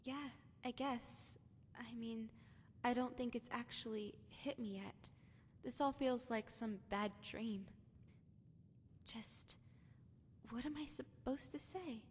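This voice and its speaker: An actress playing a troubled young woman in a game, speaking softly and earnestly about her past. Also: a little high, slow pace, pauses often, a little hiss or hum. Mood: hurt, withdrawn. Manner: wistful, soft-spoken, earnest. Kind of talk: game cutscene voice acting. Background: music.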